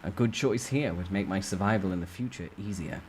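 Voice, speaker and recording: A man reading a novel aloud as a storyteller, with expressive, theatrical emphasis in a dramatic first-person narration. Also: sits low, brisk, a little hiss or hum.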